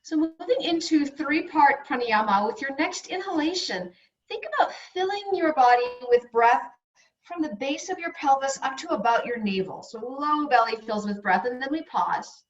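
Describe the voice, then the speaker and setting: A woman yoga instructor leading slow guided breathing and meditation in soothing, unhurried short phrases with long pauses. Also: high-pitched, expressive. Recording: dull, echoey room, distant mic.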